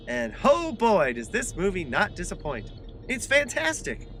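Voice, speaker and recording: A man acts as a sentimental, naive alien sidekick in a comedy audio play. The voice is bright and high for a male, earnest and gushing, with lively swings of pitch. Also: measured pace, slight background noise, exaggerated voice. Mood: chatty, excited. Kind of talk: comic audio drama acting.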